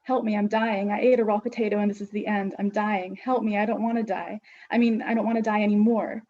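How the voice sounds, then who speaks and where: Woman doing a scared little girl, panicked pleas, husky, slightly raised pitch. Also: a little fast, muffled recording. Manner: pleading, panicked. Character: frightened little girl begging not to die.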